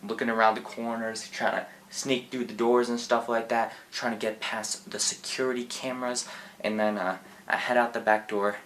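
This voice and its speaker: A man's voice: mid-range voice, level tone, moderate pace, fairly bright tone, slight background noise. A teenage boy from Japan telling a story from his school year in a casual, rambling vlog. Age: teens. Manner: laid-back, rambling with fillers. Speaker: vlogger.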